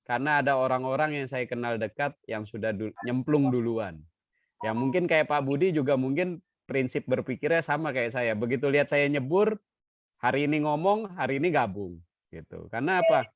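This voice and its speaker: A man giving an online seminar talk as a trainer, lively and joking, sometimes acting out quoted dialogue. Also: medium pitch, moderate pace, no room sound. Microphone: video call. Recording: dry, dull lo-fi video call audio.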